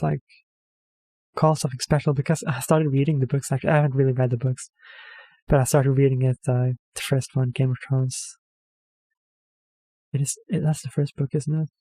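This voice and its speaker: Mellow-voiced man rambling. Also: mid-range voice, no room sound, rough recording. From Norway. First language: Norwegian. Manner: hesitant, rambling, self-correcting with many fillers. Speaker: reaction video host. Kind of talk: casual rambling commentary.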